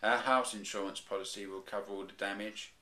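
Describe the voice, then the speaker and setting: A man voicing a calm, matter-of-fact father bear in a comic storybook reading. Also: on the low side, brisk, reverberant. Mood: dry.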